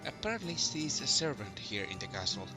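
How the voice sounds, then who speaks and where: A man voicing a male champion hero who narrates his quest in a visual novel with measured storytelling. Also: medium pitch, very animated, natural voice. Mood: narrating. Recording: music behind the voice.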